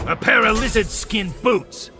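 A man voicing a sleazy, greedy mercenary in a video game, gravelly and sarcastic. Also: high voice, unhurried, over music. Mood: mocking. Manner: leering, sarcastic. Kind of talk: video game fight banter.

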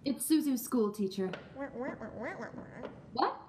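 A woman's voice: fairly high pitch, animated, slow pace, background noise. A woman acting a concerned, patient wife and mother in a live radio play. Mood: worried.

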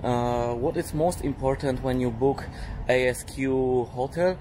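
A man in his thirties, a native Polish speaker, talking to a handheld camera in halting English with many fillers on an outdoor hotel rooftop. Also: medium pitch, loud music behind the voice. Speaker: travel vlogger. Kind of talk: casual vlog talk to camera. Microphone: handheld camera at arm's length. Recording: outdoor city noise behind.

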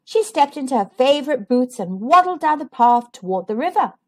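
Female children's read-aloud host, high-pitched, very lively intonation, expressive and sing-song. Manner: animated, sing-song delivery with drawn-out emphasis. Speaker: children's storybook reader, channel host.

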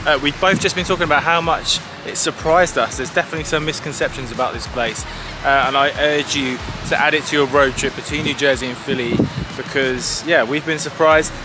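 Man, 40s, travel vlog host, casual and chatty on the go, loud music bed. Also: a little high, steady tone, fairly quick, few pauses, poor audio. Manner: upbeat and chatty, uses British words like "proper" and "reckon". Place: outdoors at beaches, boats, streets and restaurants. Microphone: handheld camera at arm's length. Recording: music playing loudly over the voice.

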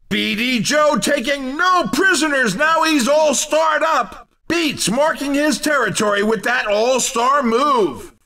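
An actor playing an excited, cheerful video game racing commentator with a punchy, showy, exclamatory delivery. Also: male voice, extremely high pitch, recorded from a distance, full character voice. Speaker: race commentator.

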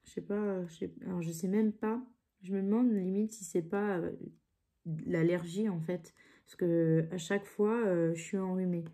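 A woman talks casually from her craft table, her voice stuffy with a cold. The tone is low and very dark and mellow. She rambles, restarting her sentences and filling the gaps with hesitations. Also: fairly even intonation, normal speed. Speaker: craft channel host. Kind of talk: casual chat while crafting. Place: home craft table, indoors.

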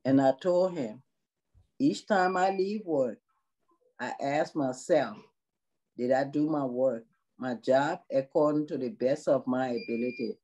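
Slow, mellow-voiced Liberian woman. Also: very deep voice, muffled recording. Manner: slow, earnest, measured. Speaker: nursing assistant in long-term care. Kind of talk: interview on video call. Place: small room indoors. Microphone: video call.

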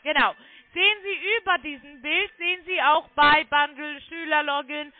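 High-pitched woman on a phone line. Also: steady tone, moderate pace. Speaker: phone sales agent claiming to be technical support. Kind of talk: phone sales call, step-by-step instructions.

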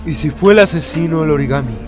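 A man's voice: on the high side, fast talker, over music, lightly acted. A man with a Latin American accent playing an anxious, guilt-ridden father in a video game dub. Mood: worried. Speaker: fan dub voice actor.

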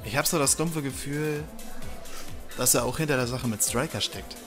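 A man's voice: medium pitch, moderate pace, music in the background, close to an everyday voice. A man playing an inquisitive, cautious hero's partner in an audio drama. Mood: wary. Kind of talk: German fan audio drama acting.

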